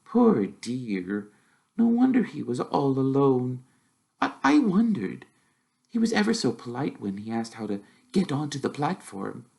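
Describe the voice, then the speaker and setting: A man's voice: mid-range voice, very animated, measured pace, vocal fry, a little mellow, pitched above the performer's own voice. A man voicing a kindly but firm mother of a big brood in a storybook, fussing and scolding. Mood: gently concerned.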